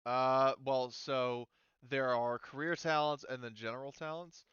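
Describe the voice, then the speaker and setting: A man acting as game master, casually explaining tabletop game rules in a halting way with repeated words. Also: medium pitch, steady tone, fairly bright tone, clear, resonant tone, dry recording, muffled recording.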